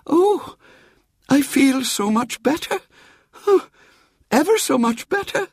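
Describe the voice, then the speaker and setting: A man voicing a frail, mischievous elderly great-aunt in a story reading, with a shrill, gleeful voice. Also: big pitch swings, takes it slowly, no room sound, studio quality, heavily acted. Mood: sly. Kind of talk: audiobook character voice.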